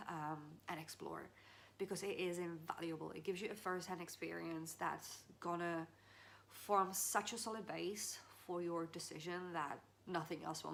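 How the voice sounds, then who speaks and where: A woman's voice: on the low side, creaky, fairly bright tone, a little hiss or hum. A woman from the Czech Republic talking through personal advice in an earnest, chatty way, with small laughs and restarts. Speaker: vlogger. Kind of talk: casual heart-to-heart advice.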